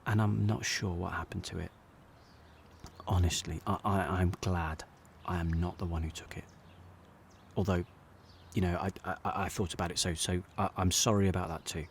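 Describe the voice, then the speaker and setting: An actor playing a regretful, sincere jobbing actor, narrating an audio drama in a halting, plain-spoken way. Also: male voice, sits low, steady tone, gravelly, a little hiss or hum. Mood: solemn, halting. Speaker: actor narrating as lead character.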